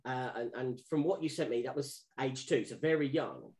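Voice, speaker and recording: A man's voice: medium pitch, moderate pace, darkish tone. A man hosting a podcast, asking long, rambling questions with restarts. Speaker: podcast host. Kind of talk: podcast interview.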